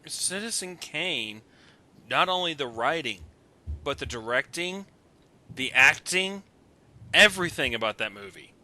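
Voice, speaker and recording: A man with a very bright, forceful voice chats casually about movies. He speaks as an opinionated guest commentator over a voice call. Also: on the high side, lively intonation, unhurried, pauses often. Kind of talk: casual opinionated film discussion. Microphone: voice call on a computer.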